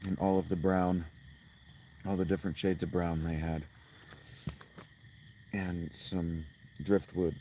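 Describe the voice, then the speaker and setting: A man with a hoarse, strained voice, rambling and swearing as he chats casually with viewers on a livestream outdoors at night. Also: low voice, little pitch movement, takes it slowly, pauses often, dark tone, slight background noise, rough recording. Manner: rambling, profane, restarts often.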